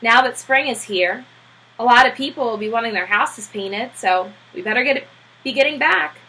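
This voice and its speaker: Woman reading as an earnest, husky, modest family man. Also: a little high, normal speed, bright, forceful tone, exaggerated voice, rough recording. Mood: earnest, resigned.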